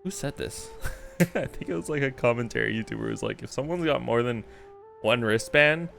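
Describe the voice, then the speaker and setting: A man's voice: mid-range voice, big pitch swings, moderate pace, creaky, a bit bright, faint music behind. A man doing casual live commentary as a game streamer, joking around in slangy speech.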